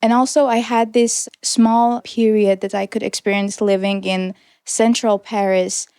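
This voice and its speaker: A woman's voice: mid-range voice, little pitch movement, unhurried, mellow, smooth, dry recording, clean studio sound. A young woman in her twenties, originally from Iran, earnestly telling her personal story as an interview guest. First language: Persian. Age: 20s. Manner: reflective, earnest, with small restarts and light laughs. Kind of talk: personal testimony in conversation.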